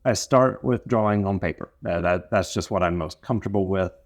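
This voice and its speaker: A man in his thirties from North Carolina, an instrument maker answering interview questions in an easygoing, thoughtful way with many pauses. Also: low-pitched.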